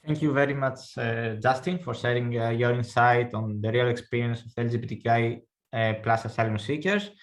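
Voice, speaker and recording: A man hosting an online event, giving a formal welcome with hesitant pauses. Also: mid-range voice, fairly even intonation. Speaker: event host.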